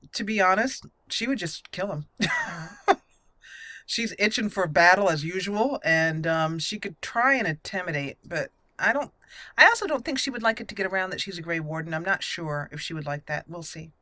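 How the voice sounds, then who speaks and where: A woman's voice: on the low side, sing-song, normal speed, bright, forceful tone, no echo. A woman hosting a roleplay game playthrough, giggly and theatrical, slipping between commentary and in-character speech. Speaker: gameplay channel host. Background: faint game music.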